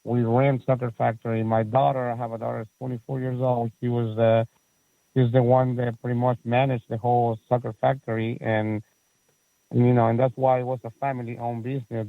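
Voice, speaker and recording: A man's voice: medium pitch, vocal fry, dry recording. A man in his forties from Chile with a Chilean Spanish accent, speaking as a guest in a casual interview, rambling with many fillers and restarts. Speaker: guest, soccer facility owner and coach. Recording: tinny phone-like line.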